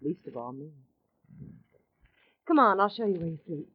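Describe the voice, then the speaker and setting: An actress playing a rueful, casual young secretary at a desert mine in a vintage radio drama. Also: female voice, fairly low pitch, lively intonation, normal speed, slightly rough, dry recording. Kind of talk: 1940s radio acting. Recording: thin phone-like old radio recording.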